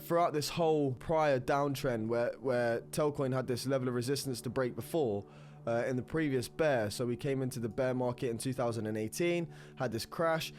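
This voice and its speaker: A man's voice: medium pitch, darkish tone. Stuffy-nosed man analysing charts. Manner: rambling, repeats words, upbeat. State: congested with a cold. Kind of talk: screen-recorded technical analysis talk.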